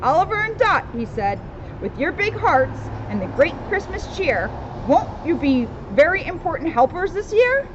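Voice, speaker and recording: A woman's voice: very high-pitched, very animated, moderate pace. A woman reading a children's storybook aloud with casual asides, recorded outdoors. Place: outdoors in a town street. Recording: dull outdoor recording with loud street noise.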